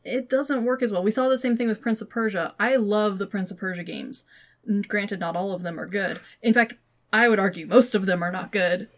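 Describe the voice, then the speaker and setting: A woman's voice: mid-range voice, steady tone, fairly quick. A woman co-hosting a podcast in casual conversation, speaking in an informal, opinionated way. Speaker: podcast co-host. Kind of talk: casual chat, film and game discussion.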